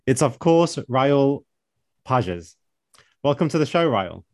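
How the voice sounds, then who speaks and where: A man's voice: medium pitch, sing-song, takes it slowly, no echo. Man hosting in a dry, close sound. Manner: friendly questioning, frequent "like" and "right?". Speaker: podcast co-host. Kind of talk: hosted interview.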